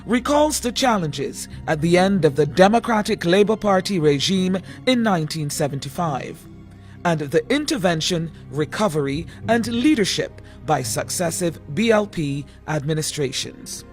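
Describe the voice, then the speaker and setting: A man's voice: fairly high pitch, expressive, moderate pace. A man reading scripted narration for a political campaign. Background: music.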